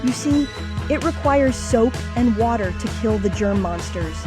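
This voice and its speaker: A woman playing a bossy, excitable boy who leads a team of kid heroes in an amateur cartoon comic dub. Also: on the high side, unhurried. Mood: matter-of-fact. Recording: music under the voice.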